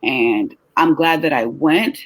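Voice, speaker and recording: A woman who is tired and short on sleep, raspy-voiced, chatting casually and lively as a travel business host. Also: low voice, slow pace, no room sound. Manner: lively, talks with her hands in her voice, leaning on questions to the listener. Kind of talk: casual chat.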